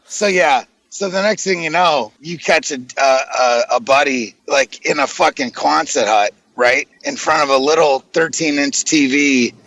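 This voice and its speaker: An American man talks casually as a podcast co-host in a creaky, gravelly voice. His way of talking is blunt and full of slang and swearing. The sound is dry, with little room in it. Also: on the high side, measured pace, few pauses, fairly bright tone, no echo, rough recording. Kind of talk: casual profane chat.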